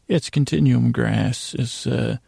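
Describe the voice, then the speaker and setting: A man hosting a sleep podcast in a rambling bedtime monologue, halting and meandering, repeating himself and trailing off mid-thought. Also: medium pitch, gravelly, mellow, husky, no room sound, clean studio sound.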